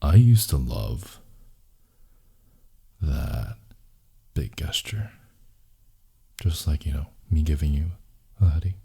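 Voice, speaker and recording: A man playing a brooding, possessive, lovelorn boyfriend in an intimate ASMR roleplay, in a hushed, husky, gravelly voice that often slips into whispers. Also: extremely low pitch, expressive, heavily acted. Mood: wistful, intimate. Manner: slow, hushed, intimate murmuring.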